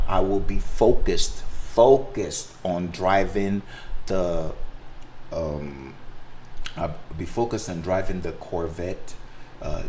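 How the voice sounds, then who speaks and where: A man's voice: low-pitched, a little mellow, slight background noise, low-quality recording. A man from Africa with a Nigerian accent and Igbo as his first language, talking casually and unscripted to viewers in a hesitant, rambling way. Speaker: sim racing video creator and driver.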